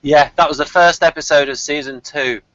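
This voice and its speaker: A man's voice: on the high side, fairly even intonation, moderate pace, fairly bright tone, no echo, highs cut off. A man co-hosting a casual TV review chat, speaking in an opinionated, emphatic way. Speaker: podcast co-host.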